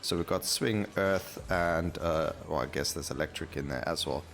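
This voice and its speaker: A man's voice: extremely low pitch, normal speed, a bit bright, faint music behind. A man giving casual gameplay commentary as the host of a gaming video.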